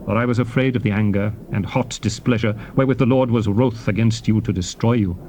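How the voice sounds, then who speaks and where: An actor playing a solemn Hebrew prophet and leader, addressing his people in formal, declamatory scripture oratory with measured phrasing. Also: fairly low pitch, a little mellow, natural voice. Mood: stern, reproachful. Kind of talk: dramatized scripture reading, formal theatrical oratory. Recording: noisy old cassette tape transfer.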